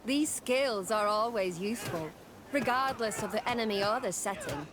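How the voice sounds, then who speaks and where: A woman's voice: mid-range voice, expressive, normal speed, natural voice. Woman doing a formal army lieutenant lady for a game, respectful, noisy ambience behind. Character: disciplined, formal female military lieutenant instructor. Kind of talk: video game cutscene acting. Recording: outdoor ambient noise throughout.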